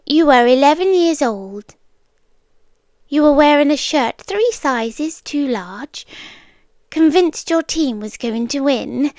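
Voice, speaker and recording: Woman as a slow, whispery ancient yandere being, British. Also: sits high, no echo. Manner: slow, deliberate, hushed, with dramatic pauses. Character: ancient otherworldly female being, obsessively devoted, wistfully watching humans. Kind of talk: soft ASMR roleplay, half-whispered monologue. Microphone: close ASMR microphone.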